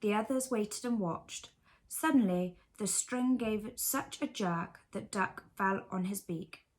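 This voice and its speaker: A woman's voice: medium pitch, takes it slowly. Casting: woman storyteller with a mid-range voice for reading children's bedtime stories. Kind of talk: scripted children's story narration.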